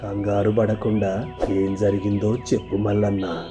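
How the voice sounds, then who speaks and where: A man voicing a calm, wise old village holy man in a cartoon moral story, measured and gently stern in his admonishing. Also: fairly low pitch, over music, close to an everyday voice.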